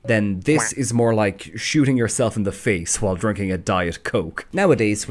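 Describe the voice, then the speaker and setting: A man's voice: fairly low pitch, a little mellow. A man reading scripted video essay narration in a wry, sardonic, sweary way. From Ireland. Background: background music.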